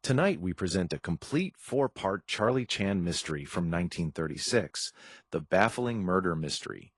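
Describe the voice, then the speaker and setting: A man's voice: low voice, lively intonation, normal speed, breathy edge, no room sound, clean studio sound. A man reading a scripted introduction as host of an old-time radio program. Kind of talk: scripted narration.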